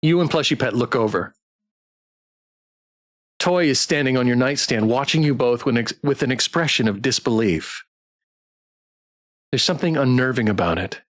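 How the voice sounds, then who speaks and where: A man streaming a live game playthrough, reading the story aloud in an expressive, theatrical way and chatting with viewers. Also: mid-range voice, normal speed, long pauses, no room sound, studio quality. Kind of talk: live playthrough narration with character read-alouds.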